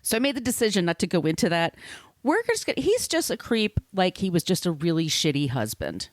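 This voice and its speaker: A woman's voice: on the low side, big pitch swings, brisk, no room sound. A woman co-hosting a podcast, chatting informally with a salty tongue. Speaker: podcast co-host. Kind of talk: casual podcast chat.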